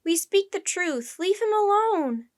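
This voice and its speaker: A woman's voice: very high voice, animated, measured pace, pitched above the performer's own voice. A woman voicing a brave, urgent young girl in a storybook, crying out emphatically. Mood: desperate, defiant.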